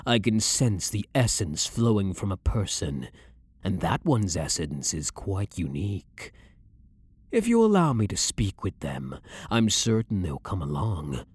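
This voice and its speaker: A blunt, brooding vampire hunter, acted by a man for an ASMR roleplay. The voice is very low and husky, with slow, measured phrasing that swings expressively in pitch. He sounds controlled and dominant, speaking softly to the listener. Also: big pitch swings, no room sound, full character voice. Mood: intent, persuasive. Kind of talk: ASMR roleplay, dramatic monologue.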